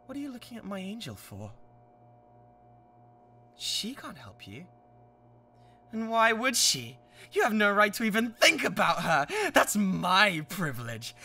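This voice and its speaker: A man's voice: high-pitched, pushed and bright, slight background noise, heavily acted. A British man playing an obsessive young yandere kidnapper in a dramatic ASMR roleplay, possessive and menacing. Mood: jealous rage. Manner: possessive, menacing, swinging from tender coaxing to frenzy.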